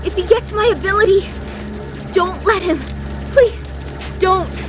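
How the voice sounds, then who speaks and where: A woman's voice: very high-pitched, unhurried. An actress playing a frantic, pleading teenage superhero girl in a video game. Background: music.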